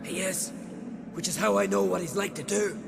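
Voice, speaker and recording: An actor playing a bold young island warrior and heir in a video game, talking in clipped, hearty, folksy phrases. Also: male voice, high voice, level tone, normal speed, creaky. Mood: urgent, wary. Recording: game soundtrack music behind.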